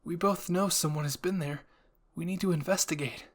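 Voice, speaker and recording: A man's voice: fairly high pitch, fairly even intonation, normal speed, voice pushed up, natural voice. A man voicing a young male soldier who is earnest, alert and kind, with an inquisitive manner, in an anime fan roleplay.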